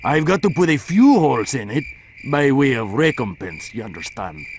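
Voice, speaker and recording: An actor playing a big, sardonic, menacing pirate villain in a video game, with old-time pirate speech. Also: male voice, medium pitch, sing-song, normal speed, slight background noise. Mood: mocking. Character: big menacing mocking pirate villain, male, middle-aged. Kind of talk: video game cutscene acting.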